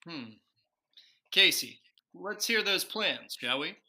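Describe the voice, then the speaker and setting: A stately but self-conscious president as an actor plays him in a radio comedy sketch. The voice is very bright and forceful, with formal, halting phrasing. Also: mid-range voice, expressive, exaggerated voice.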